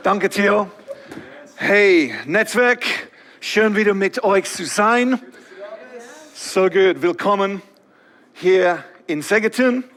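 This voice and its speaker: A man's voice: sits high, takes it slowly, long pauses, a bit bright, big-room sound. A man from England, a native English speaker, preaching in German with an English accent, friendly and searching for words. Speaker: guest preacher. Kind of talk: sermon.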